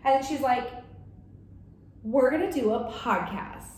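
A young woman with a high, bouncy voice co-hosts a podcast in casual chat, laughing often and acting out what friends said. Her speech swings up and down a lot. The room is very echoey, with a faint hiss underneath. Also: unhurried. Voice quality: high-pitched voice. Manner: laughing, animated storytelling with quoted voices. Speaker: podcast co-host.

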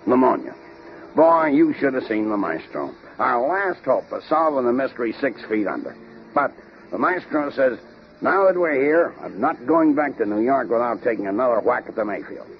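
Breezy American investigator, slangy, very animated, muffled old radio drama. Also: male voice, mid-range voice, normal speed. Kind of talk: 1930s radio drama acting and narration. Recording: muffled, noisy 1930s radio recording.

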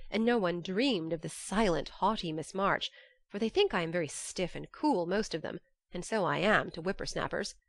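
Woman playing a low-voiced, lively young letter writer. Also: no room sound, clean studio sound. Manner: expressive, chatty, confiding. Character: lively, frank, affectionate young woman writing letters home. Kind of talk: dramatised audiobook letter reading.

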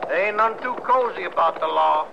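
An actor playing a sullen, needling outlaw prisoner in an old-time radio western. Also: male voice, high-pitched, lively intonation, a little fast, vocal fry. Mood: sly, threatening. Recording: noisy, muffled old radio recording.

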